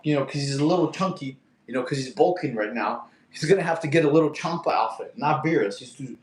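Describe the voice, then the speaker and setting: Laid-back man in an echoey room. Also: medium pitch. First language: Russian. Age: 30s. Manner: laid-back, chatty, laughs often, talks to his cat. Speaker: livestream host. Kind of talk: casual live chat reading comments.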